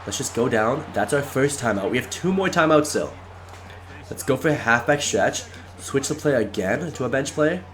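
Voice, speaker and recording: A man with a stuffy, congested voice talks through his football video game as he plays it. He is excitable and breaks into shouts when a play goes against him or his way. His pitch sits in the middle range for a man. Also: moderate pace, a little hiss or hum. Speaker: gaming YouTuber. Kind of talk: live gameplay commentary.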